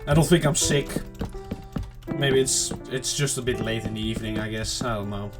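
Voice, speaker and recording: A man giving casual, rambling let's-play commentary to viewers, his throat slightly off and clearing it now and then. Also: fairly low pitch, moderate pace, music in the background. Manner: rambling, chatty self-talk to viewers. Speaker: gaming channel host.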